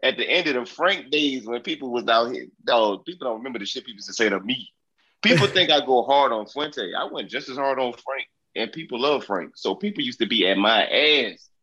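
A man's voice: medium pitch, expressive, a little fast, pushed and bright, slightly rough, lo-fi sound. A man hosting a casual sports podcast, congested from a cold, talking in a brash, profane, joking way. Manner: brash, profane, jokey trash talk. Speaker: podcast host.

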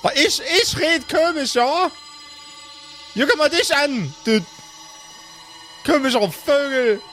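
A blunt village woman from a comic audio play, played by a man, scolds in a very high voice. She sounds indignant, suspicious and colloquial. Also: lively intonation, takes it slowly, fairly bright tone, slight background noise, exaggerated voice.

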